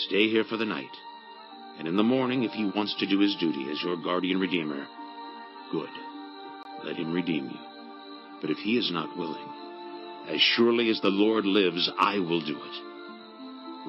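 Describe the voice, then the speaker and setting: Kindly biblical landowner played by a man, lowish voice, very animated and declamatory, dull audio. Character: kindly, honorable landowner of ancient Israel. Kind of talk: dramatized Bible audiobook acting.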